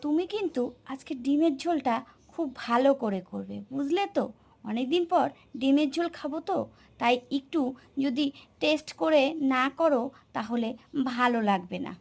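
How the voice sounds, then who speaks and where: A woman plays a young cartoon son with a very high voice. He is chatty and easily exasperated, pressing his point insistently. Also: lively intonation, moderate pace, vocal fry, darkish tone. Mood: eager. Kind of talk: cartoon voice acting.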